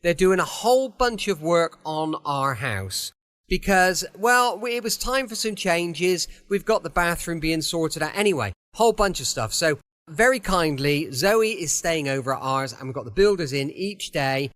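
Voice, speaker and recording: Chatty podcast host man, fairly high voice. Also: lively intonation, moderate pace, fairly bright tone. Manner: friendly, rambling and chatty. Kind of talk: casual chatty podcast introduction. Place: his home.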